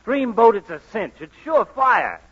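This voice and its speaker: A man's voice: extremely high pitch, big pitch swings, unhurried, fairly bright tone, husky, heavily acted. An actor playing a brash, glib, sardonic man who wisecracks breezily in 1940s radio comedy style. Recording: muffled old radio broadcast.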